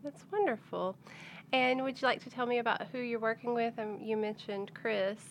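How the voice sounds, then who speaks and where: Higher-pitched woman interviewer. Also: steady tone, moderate pace, gravelly, slight background noise. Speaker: interviewer, music editor. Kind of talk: casual on-camera interview.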